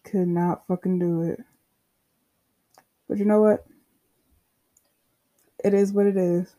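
A young podcast host in their twenties, talking alone in a loose, unscripted way. The delivery is slow and unhurried, the tone mellow and dark, candid and profane with frequent asides. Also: mid-range voice, level tone. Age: 20s. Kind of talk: casual solo monologue.

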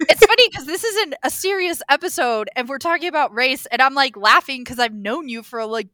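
A young woman co-hosting a podcast, chatting casually, laughing often and chiming in with quick agreement. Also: high-pitched, bright, forceful tone, dry recording, clean studio sound. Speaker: podcast co-host. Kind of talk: casual chat.

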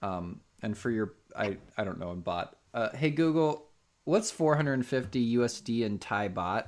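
A man's voice: medium pitch, expressive, normal speed. A man hosting a podcast, chatting casually on a voice call, rambling with many restarts. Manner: rambling, lots of restarts and fillers. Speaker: podcast host.

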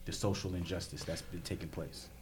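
A man's voice: deep voice, steady tone, fairly quick. A man in a casual group discussion, bluntly pressing his point with pointed questions.

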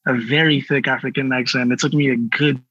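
A young man from Nigeria tells his story in a relaxed, easygoing way during a casual chat. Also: mid-range voice, fairly quick, husky, dry recording, dull audio. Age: young adult. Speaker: youth artist guest.

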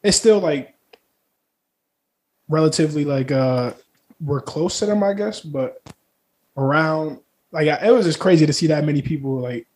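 A man's voice: fairly high pitch, normal speed, pauses often, darkish tone. A man co-hosting a podcast in casual sports chat, with a slangy, profane way of talking. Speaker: podcast co-host. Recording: noisy recording with clicks.

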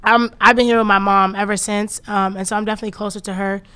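A young woman guest on a podcast, with a smooth, clear voice. She talks in a relaxed, open way with slang and a few filler sounds. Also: medium pitch, quick pace. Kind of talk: casual podcast chat.

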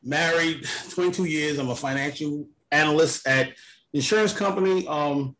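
A man's voice: on the high side, moderate pace, a bit bright, poor audio. A man from New York introducing himself plainly on a casual podcast. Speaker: podcast panelist.

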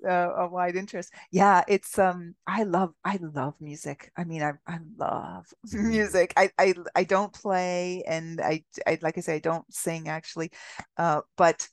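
Brief: woman guest, lower voice, dry close sound, laughs a lot, muses aloud. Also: fairly even intonation, unhurried, dry recording. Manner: laughs often, rambling and reflective. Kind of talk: casual podcast conversation.

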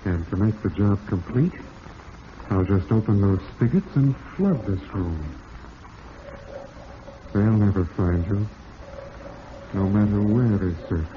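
An actor playing a smooth-talking, falsely cheerful husband with murder in mind, in old-time radio drama style. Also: male voice, fairly low pitch, slow, long pauses. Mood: coldly menacing. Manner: suave, falsely cheerful, darkly ironic. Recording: dull, noisy vintage radio recording.

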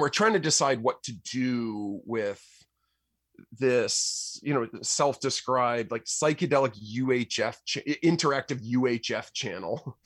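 A man chatting casually as a podcast guest about his work as a musician, in a rambling, halting way that stumbles over words. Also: medium pitch, dry recording, studio quality. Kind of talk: casual chat about own projects.

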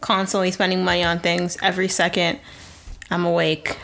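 Woman, podcast co-host, low gravelly voice, blunt, swears casually. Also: little pitch movement, normal speed, fairly bright tone, a little hiss or hum. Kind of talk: casual chat.

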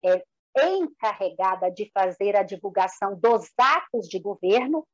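A woman with a Brazilian accent giving formal, measured political analysis as a panelist, articulate and orderly. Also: medium pitch, no room sound, lo-fi sound. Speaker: panelist in an online debate. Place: indoor room, live online debate.

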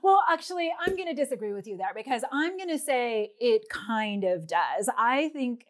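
A woman's voice: on the high side, expressive, moderate pace. A woman speaking as an interviewer in a casual, conversational style.

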